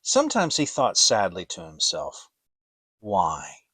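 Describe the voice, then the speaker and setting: A man narrating a children's story as a dramatic audiobook, deliberate and expressive. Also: mid-range voice, no room sound, clean studio sound.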